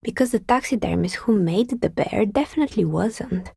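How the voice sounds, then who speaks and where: An actress playing a wry, world-weary artisan dicemaker in a video game, speaking in a dry, understated, matter-of-fact way with a husky, breathy voice. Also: female voice, medium pitch, expressive, moderate pace, a little mellow, no echo, full character voice. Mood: deadpan. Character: wry, world-weary woman artisan who makes novelty dice. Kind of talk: video game character dialogue.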